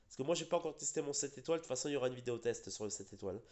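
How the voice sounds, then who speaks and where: Man, congested, rambling, many fillers, middle pitch. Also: animated, rapid-fire, darkish tone. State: a bit sick and congested. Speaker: gaming channel host. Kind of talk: casual gaming chat.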